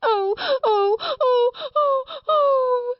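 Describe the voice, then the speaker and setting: A woman voicing a spoiled very small girl in a storybook, howling in shrill wails. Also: very slow, no echo, lo-fi sound, exaggerated voice. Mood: wailing.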